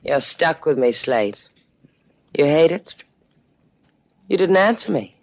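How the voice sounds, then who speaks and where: Low-voiced, wry woman sailor. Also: sing-song, takes it slowly, darkish tone, full character voice. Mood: teasing, devoted. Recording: dull vintage 1950s radio recording.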